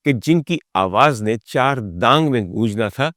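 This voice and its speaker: A man hosting a talk, telling stories dramatically and rhetorically, with deliberate build-ups and repeated phrases. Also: medium pitch, expressive, background noise. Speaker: host and storyteller of a music history talk. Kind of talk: dramatic spoken storytelling to the audience.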